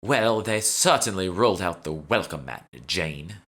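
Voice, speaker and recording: A man's voice: low voice, animated, unhurried, fairly bright tone, heavily acted. A man voicing a haughty, condescending British woman who plays an obnoxious socialite in an audiobook. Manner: imperious, scornful.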